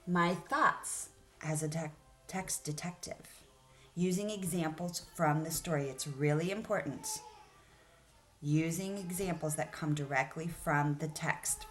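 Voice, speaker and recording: Female elementary teacher, slightly low voice, read-aloud lesson delivery for kids, explanatory and direct. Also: fairly bright tone. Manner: clear, explanatory, addressing children directly with questions.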